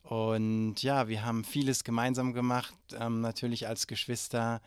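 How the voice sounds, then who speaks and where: A man's voice: mid-range voice, flat delivery, moderate pace, clean tone, dry recording. A man in his forties from Germany, answering interview questions hesitantly with many fillers and restarts. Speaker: interview guest.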